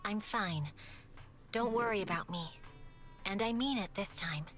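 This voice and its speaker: Woman playing a reserved fox-woman commander, mid-pitched, dry, curt, stoic, anime game, faint music. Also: a little slow, a bit bright, natural voice. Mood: stoic, warning. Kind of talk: anime-style game voice acting.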